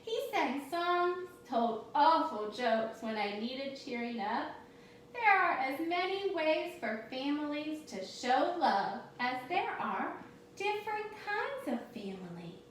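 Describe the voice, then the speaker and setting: A woman with a high, very animated voice reads a picture book to small children at an unhurried, very slow pace. She speaks straight to her young listeners and pauses to put questions to them. The room is strongly echoey and she sounds a little far from the mic. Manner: expressive, addresses children directly, pausing to ask questions. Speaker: teacher reading a storybook to a class. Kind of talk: read-aloud story time for young children with questions to listeners. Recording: very echoey room, distant mic, faint noise.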